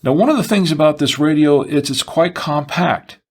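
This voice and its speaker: A man presenting a semi-scripted product review, explaining and listing features in measured, short phrases. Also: on the high side, husky.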